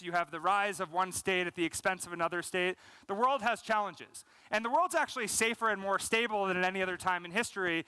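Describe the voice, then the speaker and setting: A man's voice: sits high, clear, resonant tone, no room sound, low-quality recording. A man in his thirties with an American accent giving a conference keynote on a large stage, speaking fluently with frequent "um" and "you know" and gesturing as he talks. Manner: energetic, gesturing while speaking. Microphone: headset mic.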